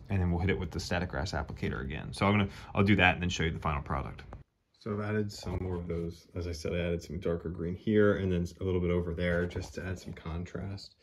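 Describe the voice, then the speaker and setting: Man, deep low voice, laid-back how-to narration, thinks out loud, hobby room. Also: slight background noise. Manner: relaxed, thinking aloud while working. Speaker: model railroad hobbyist. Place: hobby room at a model train layout.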